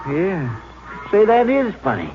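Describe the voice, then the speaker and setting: An American actor playing a folksy, plain-spoken small-town Texas sheriff in an old-time radio western crime drama. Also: male voice, sits high, huge pitch swings, slow pace, soft background music, full character voice. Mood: puzzled, doubtful. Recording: muffled, very noisy old radio recording.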